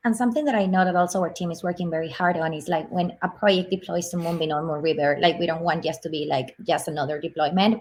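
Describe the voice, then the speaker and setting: A woman's voice: low voice, a bit bright, a little hiss or hum. A woman casually hosting an online community event over a video call, moderating and passing on information.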